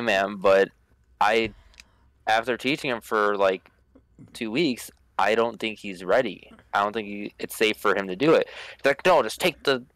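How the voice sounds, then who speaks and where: A man's voice: lowish voice, clear, resonant tone, no room sound, poor audio. A man on a casual podcast telling stories from his job, acting out customers and swearing for emphasis. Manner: animated storyteller, acts out quotes, swears. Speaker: podcast co-host. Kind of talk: casual chat, storytelling.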